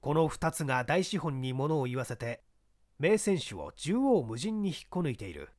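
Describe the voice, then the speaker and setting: A male narrator reads a mystery story in a dramatic, scripted style. His voice is slightly high and very expressive, captured in a dry, close recording. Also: moderate pace, no room sound. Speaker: audiobook narrator.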